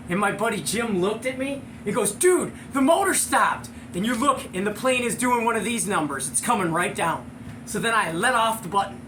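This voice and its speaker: High-voiced American man telling animated stories over noise. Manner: excitable, slangy, chuckling storyteller. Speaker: show host and storyteller. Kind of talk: animated casual anecdote, with acted-out sound effects.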